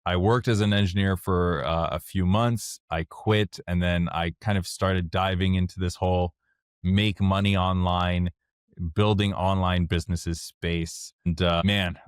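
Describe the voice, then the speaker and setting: A deep-voiced man, a French speaker by birth, talks openly about his own past in a casual interview. His voice sits low and sounds close and dry, with no room around it. He speaks frankly and works his thoughts out as he goes. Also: steady tone, unhurried, smooth, no room sound. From Canada (Montreal). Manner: candid, plainspoken, thinks aloud as he talks. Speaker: guest, software founder.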